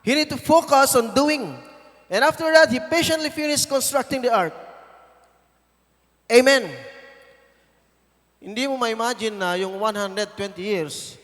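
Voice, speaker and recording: Slow, high-voiced Filipino pastor. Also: male voice, fairly bright tone, in a large hall. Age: 50s. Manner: emphatic, repeats phrases for stress. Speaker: guest pastor. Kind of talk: sermon. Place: church.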